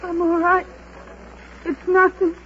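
A woman's voice: extremely high pitch, monotone, slow pace. An actress playing a timid, despairing young woman in a golden age radio drama, hesitant and pleading. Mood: frightened, subdued. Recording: muffled, noisy vintage radio broadcast.

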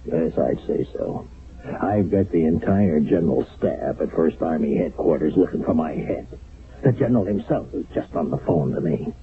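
Stern low-voiced army captain, tinny radio. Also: male voice, lively intonation, moderate pace. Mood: angry, sardonic. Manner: commanding, clipped, sardonic. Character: stern, skeptical male army captain in wartime. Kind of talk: radio drama acting. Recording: narrow, phone-like vintage radio broadcast.

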